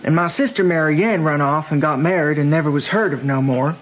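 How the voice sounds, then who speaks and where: An actor playing an orphaned Southern boy, telling his tale in a folksy, halting way in a radio drama. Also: fairly high pitch, natural voice. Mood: mournful, earnest. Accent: American Southern. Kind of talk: old-time radio drama acting. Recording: dull, hissy old radio broadcast.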